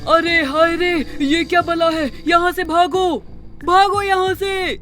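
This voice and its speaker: Guy doing a scared pleading village man, cartoon, really high voice, music behind. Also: steady tone, slow pace, bright, forceful tone, exaggerated voice. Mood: panic. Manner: panicky, exclamatory.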